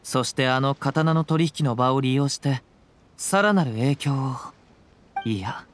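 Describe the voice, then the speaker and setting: An actor playing a calm, perceptive young wandering samurai in a Japanese video game, with measured, archaic samurai phrasing. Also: male voice, medium pitch, lightly acted. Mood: grave, perceptive.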